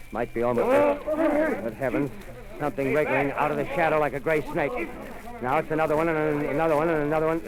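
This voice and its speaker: A man's voice: a little high, close to an everyday voice. A man playing a stammering, panicked radio reporter. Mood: shaken. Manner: halting, stammering, increasingly panicked. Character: adult male radio reporter describing an alien landing. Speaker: field reporter. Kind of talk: 1930s radio drama acting. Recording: noisy 1930s radio broadcast.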